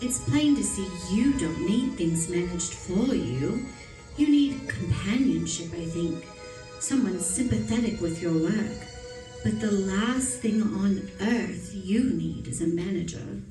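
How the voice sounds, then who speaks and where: Flirtatious, sympathetic sweetheart female performer for a radio play, gentle and coaxing, roomy sound with music behind. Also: medium pitch, animated, takes it slowly, far from the mic. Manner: soft, coaxing, tender. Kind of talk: old-time radio suspense acting.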